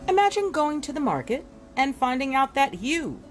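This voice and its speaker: A woman reading a history book aloud in an expressive, storytelling way, with deliberate dramatic pauses and emphasis. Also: high voice, soft background music. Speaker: reader presenting an illustrated history book. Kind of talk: expressive read-aloud narration with asides.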